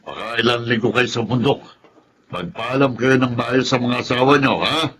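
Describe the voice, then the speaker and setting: A man acting as a commanding Filipino military officer in a radio drama. His mid-pitched voice is sharp and curt, with faint noise behind it. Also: moderate pace, lightly acted. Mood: firm. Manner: authoritative, curt. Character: commanding army officer briefing his soldiers.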